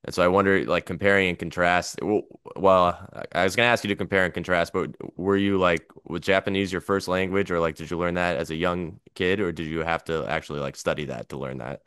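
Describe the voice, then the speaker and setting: A young American man hosts a podcast, asking rambling, chatty questions full of fillers. His voice is deep and smooth. It is recorded dry and close. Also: steady tone, fairly quick, hardly any pauses, a bit bright, dry recording. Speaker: podcast host. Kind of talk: casual interview.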